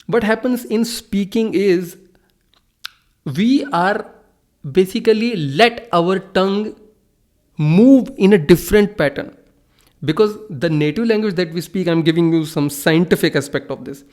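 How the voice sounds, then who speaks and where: A man's voice: sits high, a little slow, a little mellow. A young Hindi-speaking man with an Indian accent, talking earnestly into his phone camera, repeating himself and restarting sentences. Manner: earnest, repetitive, restarts often. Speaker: English-speaking channel host. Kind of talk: casual to-camera talk.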